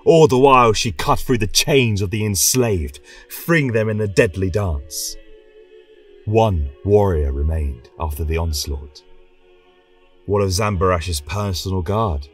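A man narrating a scripted fantasy tale as a podcast storyteller, solemn, dramatic and measured. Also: lowish voice, far from the mic. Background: choral music.

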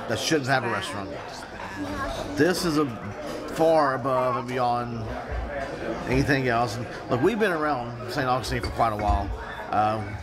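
Enthusiastic man in a loud restaurant. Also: medium pitch. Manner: enthusiastic, rambling, emphatic. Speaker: food vlogger. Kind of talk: casual restaurant review. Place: busy restaurant booth indoors. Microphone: handheld camera at arm's length. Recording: loud restaurant music and crowd. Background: loud music and crowd chatter.

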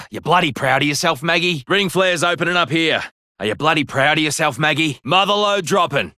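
A man's voice: a little high, expressive, brisk, bright, forceful tone, no echo, clean studio sound. A man voicing a cheeky middle-aged brawler in a video game, with an Australian accent and sardonic, bantering delivery. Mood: boisterous, goading. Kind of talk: video game character voice lines.

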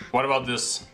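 Excitable young guy gaming on a headset. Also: mid-range voice, vocal fry. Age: young adult. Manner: excitable, laughs often, slangy. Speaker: gaming streamer. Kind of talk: casual gameplay commentary. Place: small room at a desk.

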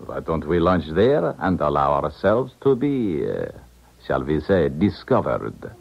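An actor playing a cool, calculating Eastern Bloc scientist in a 1970s radio drama, formal and deliberate. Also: male voice, extremely low pitch, big pitch swings, vocal fry, exaggerated voice. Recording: muffled old radio broadcast.

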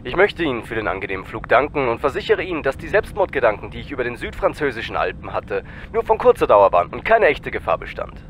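A man's voice: medium pitch, very animated, quick pace, hardly any pauses, fairly bright tone, slight background noise. Man acting blithely unhinged captain, swooping intonation. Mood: blithe, deadpan. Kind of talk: deadpan cabin announcement in parody audio play.

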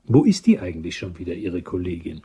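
An actor in a German crime radio drama playing a prim, fussy office boss who talks in an indignant manner. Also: male voice, sits low, very animated, brisk, dark tone, husky, full character voice. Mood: grumbling.

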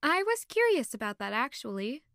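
Woman acting a young noble lady, high lilting voice, very lively pitch, sweet, curious, audio drama. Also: normal speed, smooth, dry recording, studio quality, heavily acted.

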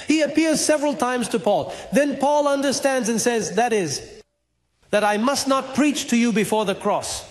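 A middle-aged man with a strikingly high voice preaches on a studio stage. He speaks into a handheld mic, animated, acting out the voices in his story and climbing to shouts. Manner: animated, gesturing, acts out dialogue, rises to shouts. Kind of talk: sermon.

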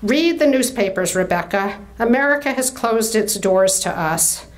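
A woman's voice: mid-range voice, expressive, moderate pace, close to an everyday voice. Woman narrator as old father, novel character, weary, glum, stern, terse.

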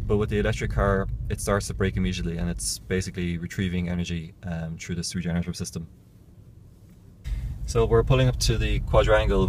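Man, 30s, deep relaxed voice, tech reviewer recorded on a phone in a car with loud road noise. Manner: relaxed, plain explaining with fillers. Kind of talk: casual first-person car review. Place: inside a moving car, and outdoors on a city street. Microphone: phone camera held close. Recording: noisy car and street sound.

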